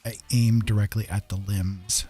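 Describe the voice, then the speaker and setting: A man's voice: fairly low pitch, little pitch movement, normal speed, mellow, slightly rough. A man casually chatting to viewers as he hosts a live art stream, rambling and hesitant as he goes. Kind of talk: casual livestream chat with viewers. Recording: music playing behind the voice. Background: background music.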